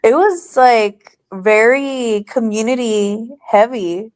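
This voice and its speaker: A woman co-hosting a casual community talk show, upbeat and unhurried. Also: fairly high pitch, a little mellow, clear, resonant tone, no room sound. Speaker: co-host. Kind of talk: casual chat.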